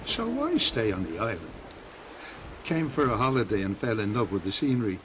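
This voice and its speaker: Fearless cheeky youngster, sarcastic, teasing, swooping mid-range pitch, game cutscene, rainy background noise. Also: male voice, sing-song, moderate pace. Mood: mocking. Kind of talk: video game cutscene acting. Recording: clear rain and ambient noise.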